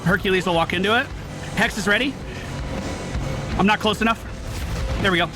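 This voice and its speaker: A man's voice: sits high, fairly quick. A man streaming gameplay with casual, sweary live commentary, often repeating short phrases. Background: loud game music.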